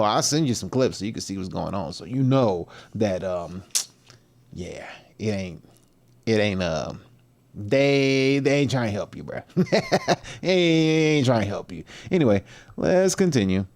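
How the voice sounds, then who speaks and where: A man's voice: medium pitch, darkish tone. A man hosting a livestream, reacting with loose, speculative commentary full of fillers, restarts and slang. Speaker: livestream host reacting to a video. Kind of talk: casual rambling commentary.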